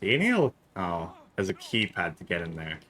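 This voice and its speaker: A man's voice: sits low, very animated, normal speed, a bit bright. A man chatting while playing a co-op game, asking curious questions and making wry remarks. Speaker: co-op player. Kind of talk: casual gameplay chat.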